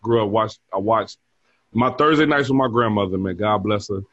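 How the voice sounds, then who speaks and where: A man's voice: on the low side, moderate pace, a bit bright, no room sound, dull audio. A man in his forties, a bar manager, chatting casually as an interview guest and telling stories with frequent laughs. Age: 40s. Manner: easygoing storyteller who laughs often.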